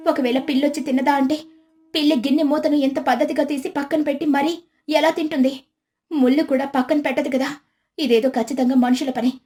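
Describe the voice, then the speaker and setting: A woman's voice: high voice, steady tone, brisk, dark tone, voice pushed up, lightly acted. A woman storyteller voicing a clever, theatrical village housewife with an exclamatory, dramatic manner. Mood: distressed, panicked.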